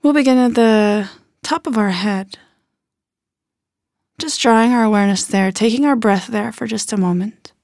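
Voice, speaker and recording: A woman leading a guided body scan meditation in a gentle, unhurried manner with soft phrase endings. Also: fairly high pitch, dry recording, clean studio sound.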